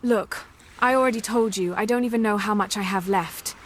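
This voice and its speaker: A woman's voice: mid-range voice, normal speed, a little hiss or hum, natural voice. A woman voicing a determined English adventurer searching for her late father's artifact, speaking in a guarded, matter-of-fact way with an English accent. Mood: wry. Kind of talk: amateur animated voice acting.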